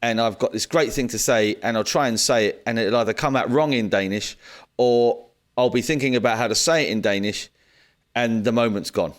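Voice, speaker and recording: A man's voice: medium pitch, fairly quick. A man, co-hosting a casual podcast chat in an easygoing way with frequent fillers and short agreements. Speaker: podcast co-host.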